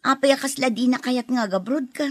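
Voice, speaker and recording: A woman voices a talkative, teasing friend working abroad, with a husky, breathy voice pitched a little high. The sound is dry and close, with faint music underneath. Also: brisk, no echo. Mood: chatty. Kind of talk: Ilocano radio drama acting.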